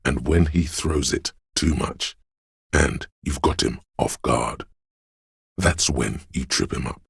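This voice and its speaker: A slick, suave inner voice, narrating a video game straight to the player. It is extremely low and heavily husky, recorded very dry and close. The delivery is measured, theatrical and wry, with a cunning edge. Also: male voice, fairly bright tone, no room sound, full character voice. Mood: sly, goading. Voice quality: very deep, husky. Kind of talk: second-person game narration.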